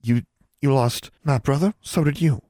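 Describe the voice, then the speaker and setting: A man's voice: mid-range voice, moderate pace, dark tone, no room sound, studio quality, voice pushed up, natural voice. A man voicing a caring, earnest teenage girl in an audiobook, pleading with strong emotion.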